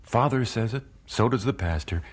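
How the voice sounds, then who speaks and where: A man's voice: mid-range voice, lively intonation, moderate pace, lightly acted. An actor playing an earnest, devout 19th-century family man, reflective, in a radio drama. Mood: hesitant, earnest. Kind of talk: radio drama narration and dialogue.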